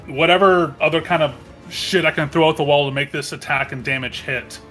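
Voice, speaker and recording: Man, bright tone, slightly high pitch, animated gamer chat, profane, self-deprecating. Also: a little fast. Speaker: tabletop game player. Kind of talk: casual game chat. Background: background music.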